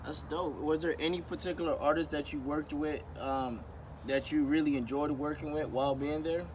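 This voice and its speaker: A man's voice: a little high, steady tone, moderate pace. A man interviewing a guest in a casual, friendly way, full of slang like dope and bro. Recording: outdoor traffic noise.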